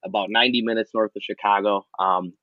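A man in his thirties with a broad Wisconsin accent, chatting loosely and looping back on himself. His voice sits low. The call audio is muffled and dead dry. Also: fairly even intonation, fast, no echo. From Wisconsin, USA. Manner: chatty, filler-heavy, rambling. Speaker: podcast guest. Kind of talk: casual chat. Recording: dull, lo-fi audio.

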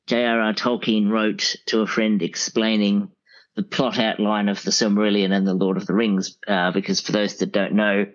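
A man speaking as a podcast guest reviewing audiobooks, picking his words in a halting, considered way. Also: on the low side, little pitch movement, highs cut off. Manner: halting, considered, deliberate phrasing. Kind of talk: conversational review.

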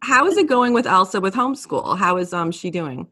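Chatty husky woman podcast host. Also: mid-range voice, sing-song, brisk, pushed and bright, no room sound, studio quality. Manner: chatty, enthusiastic. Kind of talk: casual interview.

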